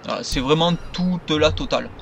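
A man's voice: a little high, normal speed, gravelly, muffled recording. A man giving casual, unscripted game commentary, rambling and stumbling over his words. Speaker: gaming video creator. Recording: noisy home recording.

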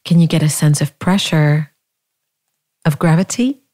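Female meditation guide: calm, gentle, very slow delivery with generous pauses, warm and relaxed tone. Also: low-pitched. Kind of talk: guided body scan meditation.

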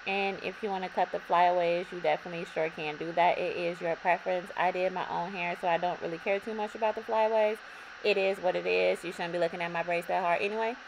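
Young woman doing a braid tutorial at home, kinda raspy, lower voice, noisy background. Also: fast. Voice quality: slightly raspy. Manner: relaxed, repetitive instructions addressing viewers. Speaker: hair tutorial vlogger. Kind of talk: step-by-step tutorial narration. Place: bedroom, indoors. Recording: audible background noise.

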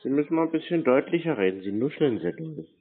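A man's voice: a little high, animated, quick pace, crowd noise. A man chatting casually on the phone as a prankster, teasing people with feigned earnestness. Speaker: prank caller answering scam calls. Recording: narrow, tinny phone-like sound.

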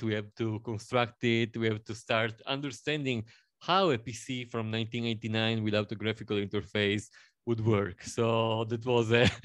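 A man in his thirties from Italy speaks with an Italian accent, his voice smooth and resonant, recorded dry and close. He talks in a halting way, with lots of fillers and repeated starts. His pitch sits in the middle of the male range. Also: no echo. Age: 30s. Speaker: panel guest. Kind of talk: self-introduction on a panel.

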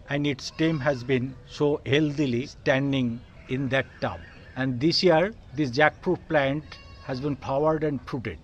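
A man's voice: medium pitch, lo-fi sound. A man in his sixties with a Bengali accent, earnestly hosting a gardening vlog in his rooftop garden and calling his viewers "dear friends.". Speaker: gardening channel host. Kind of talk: casual gardening vlog tour.

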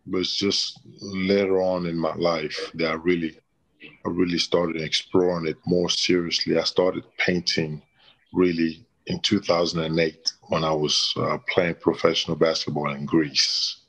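A man's voice: low-pitched, little pitch movement, a little slow, a bit bright, low-quality recording. A middle-aged man from the DR Congo, a painter and former basketball player, answering interview questions thoughtfully from a small room, with many restarts. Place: small plain room indoors.

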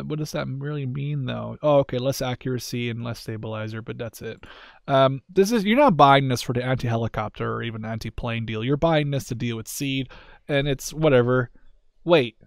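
A man's voice: medium pitch, dry recording, clean studio sound. A man hosting a gaming video with casual, rambling and self-deprecating commentary in an informal style.

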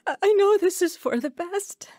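Restless, affectionate young mom, high animated voice, radio play. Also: female voice, moderate pace, soft background music. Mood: anxious, resolved. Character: restless young mother, affectionate but leaving her children. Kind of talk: audio drama acting.

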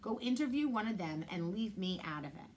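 A grumpy old man in his eighties, as a woman reading a storybook aloud puts him on. He barks and bellows each line, curt and stubborn, with a voice pushed loud. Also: medium pitch, lively intonation, fairly quick, a little hiss or hum, close to an everyday voice. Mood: gruff.

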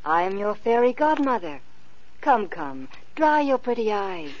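A woman playing a gentle, kindly fairy godmother in an old radio play, soothing and tender, on a dull lo-fi recording. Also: medium pitch, big pitch swings, measured pace. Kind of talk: 1950s radio acting.

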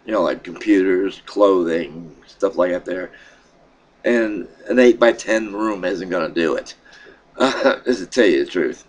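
A middle-aged man with a froggy throat, casually talking to his webcam at home in a rambling, halting way. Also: sits low. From Pennsylvania. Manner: rambling, halting, with many fillers and restarts. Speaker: vlogger. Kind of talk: casual vlog update. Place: small room in a home, indoors. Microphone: webcam at desk.

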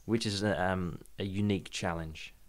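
A man's voice: sits low, fairly even intonation, moderate pace. A man hosting a podcast in casual conversation, talking in a hedging, agreeable, conversational way. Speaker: podcast host.

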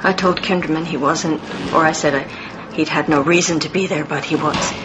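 A woman's voice: low voice, steady tone, normal speed, noisy background, natural voice. Woman as a strained, anxious mother. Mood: fearful. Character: anxious, protective mother under great strain. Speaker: actor. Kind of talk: radio drama acting.

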